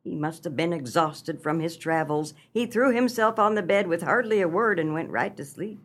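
A woman's voice: fairly low pitch, lively intonation, normal speed. A woman playing a sorrowful old farm wife and mother in a comic radio melodrama. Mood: resigned.

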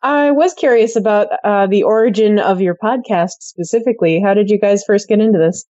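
A woman's voice: medium pitch, fairly quick, warm tone, no echo, highs cut off. A woman co-hosting a podcast, asking questions in a casual, conversational way. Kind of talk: casual chat.

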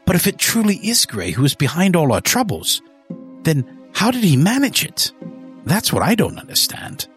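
A woman voicing an earnest young gentleman. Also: lowish voice, wildly swooping pitch, normal speed, faint music behind. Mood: bewildered.

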